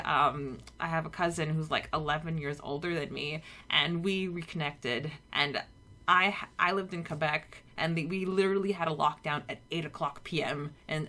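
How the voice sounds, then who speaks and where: A woman's voice: sits low, fairly bright tone. A woman in her thirties from Canada co-hosting a podcast, talking in a casual, conversational, reminiscing way. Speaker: podcast co-host.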